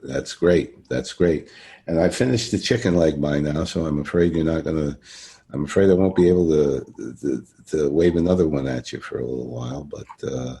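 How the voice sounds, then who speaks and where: Very deep, husky male podcast host. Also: moderate pace, mellow. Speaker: podcast host interviewing a guest. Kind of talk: informal interview with hesitant, repetitive sign-offs.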